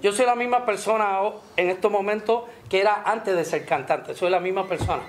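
A man's voice: high-pitched, brisk, slight background noise. A man from New York, a salsa singer, speaking in a friendly, modest, chatty way at an indoor press conference. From New York, USA. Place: indoor restaurant, seated at a table. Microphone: table microphones, later handheld mic.

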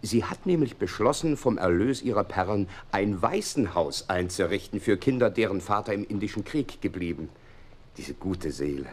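Deep-voiced, expressive gentleman storyteller. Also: breathy edge. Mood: wistful, confiding. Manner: measured, literary storytelling. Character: loyal gentleman doctor, earnest chronicler of detective adventures. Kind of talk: dramatic radio play narration.

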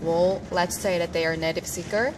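A woman's voice: fairly low pitch, steady tone, normal speed. Woman in her thirties, fumbling for words. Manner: searching for words with frequent "like". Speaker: foreign cabin crew member. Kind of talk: casual interview. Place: airport hall indoors. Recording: background noise in hall.

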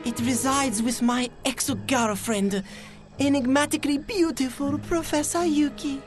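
A man's voice: very high-pitched, steady tone, unhurried, music in the background. An actor playing an earnest, lovelorn male scientist in a video game, with a Japanese accent and formal, clipped grammar. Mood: lovelorn, hopeful. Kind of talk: video game cutscene acting.

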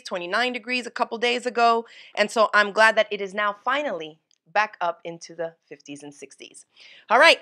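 Bright-voiced woman hosting a quilting livestream. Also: on the high side. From Florida, USA. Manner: chatty and upbeat, greets viewers by name. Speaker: quilting teacher and livestream host. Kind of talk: casual livestream tutorial. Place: home sewing studio, indoors.

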